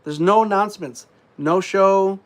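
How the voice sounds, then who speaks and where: Congested male streamer with a slightly high voice, loose rambling delivery full of ums. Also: unhurried. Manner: rambling, frequent fillers and throat clearing. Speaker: live streamer. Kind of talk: casual live stream chat.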